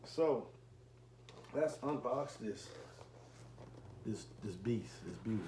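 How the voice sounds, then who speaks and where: A man's voice: mid-range voice, animated, slow. A man in his forties talking casually in a rambling, self-correcting way, as a reviewer filming an unboxing video. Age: 40s. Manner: rambling, often repeats and corrects himself. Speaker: car audio reviewer and enclosure builder. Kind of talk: casual unboxing vlog talk. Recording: echoey room with faint background noise.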